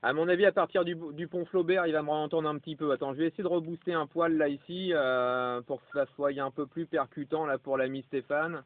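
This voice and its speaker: A man's voice: a little high, fairly even intonation, fairly quick, few pauses, dry recording. A man chatting casually as a radio amateur, rambling and tacking little tags onto his phrases, over a CB radio. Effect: CB radio transmission. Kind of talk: casual two-way radio chat. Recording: narrow, tinny two-way radio audio.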